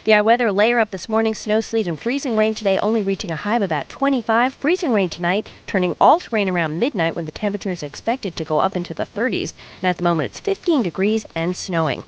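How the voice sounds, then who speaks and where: A woman's voice: medium pitch, fast, few pauses. A woman reading a scripted traffic and weather report on the radio. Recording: dull, lo-fi radio sound.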